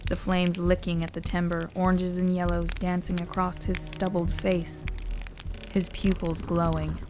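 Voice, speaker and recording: A woman's voice: on the low side, flat delivery, a little slow. A woman narrating a scripted audio story.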